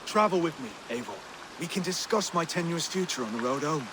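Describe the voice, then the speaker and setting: An actor playing an earnest, unsure young Saxon king in a video game, speaking in a halting, self-deprecating way. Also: fairly high pitch, expressive, noisy background, close to an everyday voice. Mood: earnest, calm. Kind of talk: video game cutscene acting.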